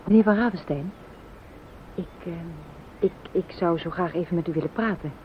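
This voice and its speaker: An actress playing a distraught, timid wife in a radio play, stammering and pleading in a Netherlands Dutch accent. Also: female voice, moderate pace, slight background noise, lightly acted. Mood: distraught, hesitant. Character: distraught, timid, apologetic wife of accused man. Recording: dull, rumbly old radio play recording.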